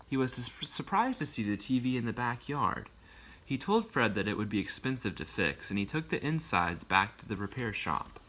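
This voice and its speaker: A man reading a picture book aloud in an expressive storytelling way, with casual asides. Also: mid-range voice, a little hiss or hum.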